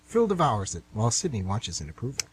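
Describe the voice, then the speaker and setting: A man narrates a radio play from a script. His voice is middling in pitch, rough-edged and lively in its rise and fall. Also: fast, poor audio.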